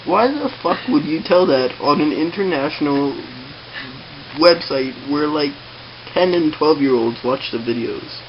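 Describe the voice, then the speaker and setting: A young woman with a deep voice and swooping intonation banters in a blunt, teasing way. The audio is muffled, with plenty of hiss. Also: lively intonation, unhurried. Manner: blunt, teasing, swearing, interrupting. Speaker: vlogger, co-host. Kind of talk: casual banter. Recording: muffled webcam-style audio with loud hiss.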